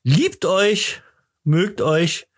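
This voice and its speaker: A man of fifty-three with a slightly high-pitched voice hosts a podcast. He rambles along casually in German conversation, jokey and self-deprecating. He is from eastern Germany. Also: animated, drawn-out words. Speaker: podcast host.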